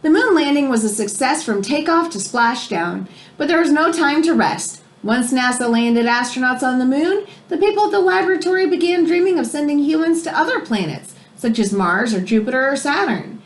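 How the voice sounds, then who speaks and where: A woman reading a children's book aloud in an expressive way, as if explaining it to young listeners. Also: high-pitched, moderate pace. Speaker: read-aloud storyteller.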